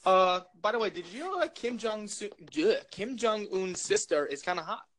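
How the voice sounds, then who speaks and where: A young man with a loud, projecting voice, rambling jokily through a casual chat as co-host. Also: high voice, lively intonation, moderate pace. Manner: rambling, filler-heavy, jokey.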